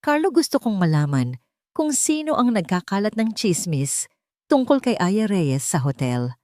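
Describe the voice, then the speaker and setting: A woman's voice: mid-range voice, sing-song, moderate pace, a little mellow, dry recording, natural voice. A woman with a Filipino accent voicing a guilt-ridden, commanding billionaire businessman with a terse, direct manner in an audio storybook.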